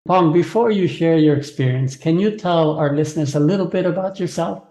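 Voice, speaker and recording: A man conducts a podcast interview in a fairly high-pitched, softly mellow voice. The sound of an echoey room surrounds his speech. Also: brisk. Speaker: podcast host. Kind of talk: interview conversation.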